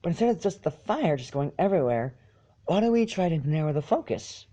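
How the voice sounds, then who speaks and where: A man voicing a timid, gentle female pony in a storybook reading, with a small soft voice and a meek, hesitant, polite manner. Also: a little high, big pitch swings, a little fast, voice pushed up. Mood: eager, gentle.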